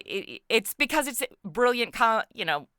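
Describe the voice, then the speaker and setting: A middle-aged American woman talking casually as an interview guest about her creative work, animated and informal. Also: on the high side, measured pace, clear, resonant tone, dry recording, studio quality. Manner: animated, informal, peppered with like and you know. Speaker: interview guest, comedy podcast writer and voice actor.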